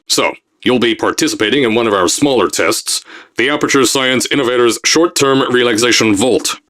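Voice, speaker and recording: Guy doing a loud bossy company head, all bluster and sales pitch, game voice. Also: close to an everyday voice. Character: brash blustering male company boss. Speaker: voice actor in a game mod. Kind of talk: pre-recorded announcement messages.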